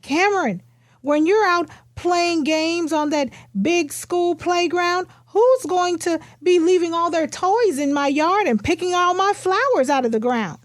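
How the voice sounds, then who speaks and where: A woman voicing fretful storybook relatives and neighbours, putting pleading, drawn-out questions to a little girl. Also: very high-pitched, no room sound, studio quality. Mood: worried, pleading.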